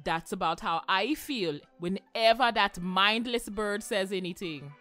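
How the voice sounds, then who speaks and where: Woman playing grumbly lady crab sidekick, dry and fed up, mid pitch, music under it. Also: unhurried, fairly bright tone, lightly acted. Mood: exasperated. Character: grumpy, exasperated female crustacean sidekick. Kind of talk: audio drama acting.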